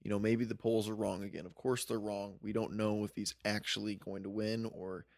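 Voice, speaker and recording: A man's voice: fairly low pitch, level tone, normal speed, creaky, a little mellow, no room sound, studio quality. A man co-hosting a political podcast, talking casually with "you know" fillers. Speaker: podcast co-host. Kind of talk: political commentary chat.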